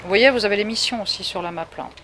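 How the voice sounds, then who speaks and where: A woman's voice: medium pitch, fast, a bit bright, a little hiss or hum. A woman from Paris with a Parisian French accent, chatting casually through a live game stream and answering viewers. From Paris, France. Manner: chatty, answers chat viewers, laughs often. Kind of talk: casual live gameplay commentary.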